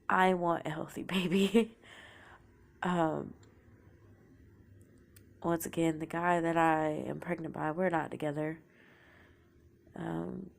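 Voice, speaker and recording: Low, slow, gravelly young woman with a sore throat. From Oklahoma, United States. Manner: soft-spoken, often trailing into a whisper. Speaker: podcaster telling her life story. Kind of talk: casual confessional storytelling.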